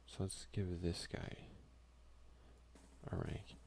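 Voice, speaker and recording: A man doing a soft-spoken, unhurried ASMR game playthrough, reading text aloud with casual asides. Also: low voice, completely flat delivery, creaky, slight background noise. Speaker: let's play streamer.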